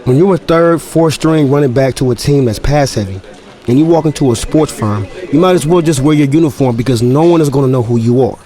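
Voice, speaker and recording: A man's voice: mid-range voice, a little fast, dark tone, close to an everyday voice. A man playing a wry young football player narrating. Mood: reflective. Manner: reflective, wry inner monologue. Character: young male football running back, narrating his story. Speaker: lead character and narrator. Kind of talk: audio drama narration and dialogue.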